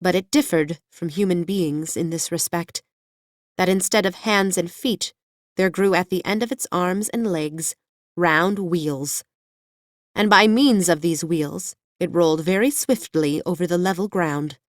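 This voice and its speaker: A woman reads a children's story in a measured, scripted style. Her voice sits a little low for a woman. The recording is very dry, with no room sound. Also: no room sound. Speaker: audiobook narrator. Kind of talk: scripted children's fiction narration.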